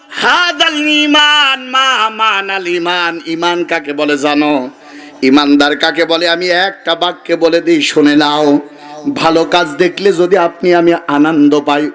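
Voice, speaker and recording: Male Islamic preacher, Bangladeshi accent. Sharp, high voice, exhorting call-and-response sermon delivery, recorded at a live gathering. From Kushtia region, Bangladesh. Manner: emphatic, rhetorical repetition, exhorting listeners to answer. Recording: live gathering with crowd behind. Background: crowd of listeners.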